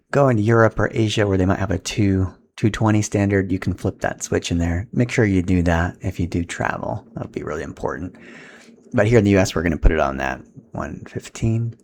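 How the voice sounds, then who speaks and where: A man's voice: low voice, creaky, a little mellow, a little hiss or hum. A man giving a casual, step-by-step setup tutorial in an informal, instructional way as he handles equipment.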